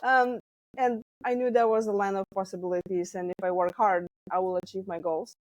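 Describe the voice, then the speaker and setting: A woman's voice: medium pitch, a little fast, no room sound, muffled recording. A woman in her forties from Lithuania with a Lithuanian accent, a stress coach telling her story animatedly in a podcast interview. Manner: animated storytelling with laughs and acted quotes. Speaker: guest, stress management coach.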